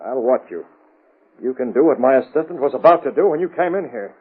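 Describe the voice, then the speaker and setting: A muffled vintage radio broadcast carries a cool masked vigilante. He fires off clipped commands quickly in a husky voice, in classic adventure serial style. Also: mid-range voice, animated. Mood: commanding. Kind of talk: 1930s-40s radio drama acting.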